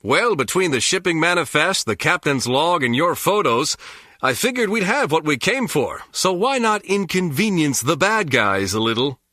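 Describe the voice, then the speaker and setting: A man's voice: fairly high pitch, very animated, normal speed, sharp tone, dry recording, clean studio sound. An actor playing a cocky, flippant male spy in a game, smug and breezy. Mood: irritated, sardonic.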